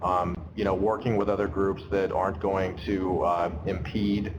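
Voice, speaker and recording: A man's voice: sits low, level tone, moderate pace, lo-fi sound, noisy background. A man recovering from an illness and starting to lose his voice, answering questions in a webinar in a loose, um-filled way. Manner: many ums, loose and conversational. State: getting over an illness, slightly losing his voice. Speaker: advocacy program staff.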